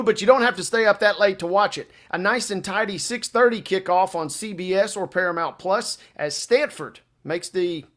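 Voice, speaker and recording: A man with a high-pitched voice co-hosts a casual sports show. He is chatty and colloquial, with playful turns of phrase as he runs through lines and picks. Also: fairly bright tone.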